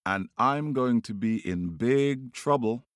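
A desperate storybook rooster, acted out by a man, calls out high above a normal speaking voice. He is vain and pleading, with loud sing-song demands that swoop up and down in a dry, close sound. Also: animated, slow pace, no echo. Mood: frantic, pleading. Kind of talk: children's storytelling character voice.

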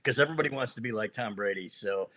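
A man's voice: lowish voice, quick pace, fairly bright tone. An American man hosting a sports podcast, chatting casually with a friend in an informal, joking, teasing way. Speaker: sports podcast host interviewing a colleague.

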